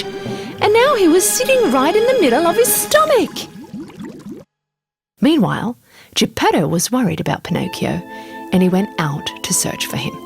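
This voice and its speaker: A woman narrating a scripted children's story in an expressive, deliberate storytelling manner. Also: sits high. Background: music.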